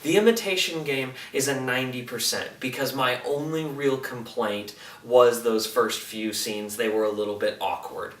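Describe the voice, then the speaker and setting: A man's voice: medium pitch, moderate pace, few pauses, fairly bright tone. A man giving a casual, unscripted movie review as a video host, speaking enthusiastically and emphatically with informal slang. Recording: roomy echo, distant microphone.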